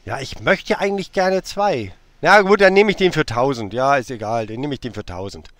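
A man's voice: mid-range voice, lively intonation, a little fast, a bit bright, no room sound. A man in his late fifties on a phone call, playful and stalling, full of hesitations and teasing asides. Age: 50s.

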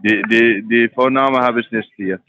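A man's voice: mid-range voice, fairly even intonation, moderate pace, no echo, muffled recording. A man who is not a native German speaker, calling from a trading firm, speaking broken German and asking confused questions. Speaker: scam call-center caller.

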